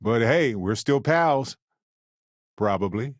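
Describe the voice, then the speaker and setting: A man playing a wry, warm-hearted space explorer companion in a video game, with playful, conversational asides. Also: mid-range voice, expressive, slow pace, no echo, clean studio sound. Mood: upbeat, wry.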